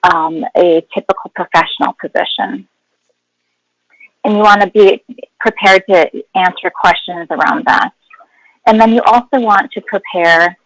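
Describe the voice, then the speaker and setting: A woman's voice: fairly low pitch, a bit bright, no echo, poor audio. A woman speaking as a guest HR expert, explaining in an instructive webinar style with emphasis on key words.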